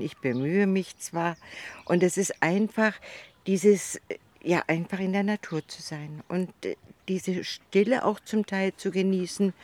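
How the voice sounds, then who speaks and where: A retired woman in her seventies from northern Germany answers interview questions in a soft-spoken, unhurried way, pausing now and then. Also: lowish voice. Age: 70s. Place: outdoors in a city allotment garden.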